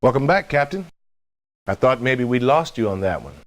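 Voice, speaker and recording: A man playing a measured, fatherly middle-aged resistance officer, formal and reassuring, in a game debriefing. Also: mid-range voice, very animated, a bit bright, slight background noise. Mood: approving.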